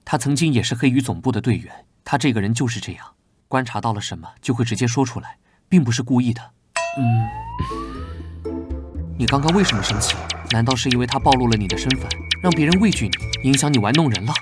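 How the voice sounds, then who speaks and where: A man voicing a guarded, proud young knight vice-captain in an animated drama, serious and reserved. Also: medium pitch, fast talker, lightly acted. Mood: brooding. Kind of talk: animated drama dubbing with inner monologue. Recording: long reverb, loud music behind. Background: music.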